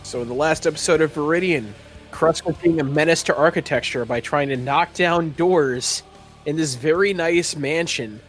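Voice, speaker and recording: A man's voice: on the high side, moderate pace, faint music behind. A man narrating as game master of a tabletop game, moving between scene description and casual banter.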